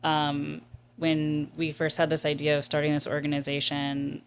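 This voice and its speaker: A woman's voice: sits low, monotone, moderate pace, a little hiss or hum. A woman who leads a nonprofit, answering interview questions in an earnest, reflective and candid way. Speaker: nonprofit executive director, guest.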